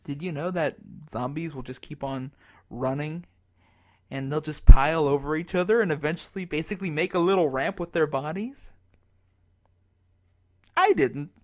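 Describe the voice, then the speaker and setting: A man's voice: fairly high pitch, lightly acted. Man acting a despairing, bitter apocalypse radio broadcaster. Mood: resigned, uneasy. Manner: halting, self-berating, sardonic, swearing. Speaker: radio announcer. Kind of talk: dramatic radio drama monologue.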